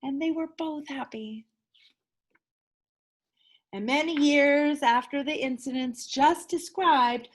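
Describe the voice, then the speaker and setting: A woman teacher reading a children's story aloud expressively, leaning dramatically on key words. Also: sits high.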